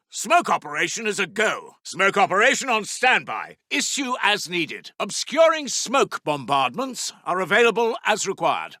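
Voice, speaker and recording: A man playing a brisk, dutiful British Second World War intelligence officer in a strategy game, with a clipped, formal, commanding delivery. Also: high voice, vocal fry, sharp tone, no echo, studio quality, exaggerated voice. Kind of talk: military strategy game unit announcements.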